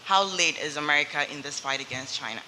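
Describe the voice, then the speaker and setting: A woman's voice: low voice, quick pace, pushed and bright. A woman in the audience with a foreign accent, asking a question hesitantly and searching for words. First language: not English. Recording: distant hall pickup with faint hiss.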